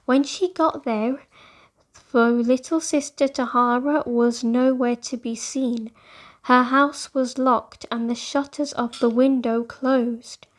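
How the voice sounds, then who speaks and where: A woman's voice: high voice, steady tone, slow pace. A woman reading scripted storybook narration for children.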